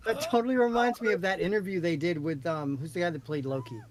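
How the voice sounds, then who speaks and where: Man, slightly high pitch, rapid delivery, casual storytelling, faint music. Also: crowd noise. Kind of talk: casual chat, anecdotes.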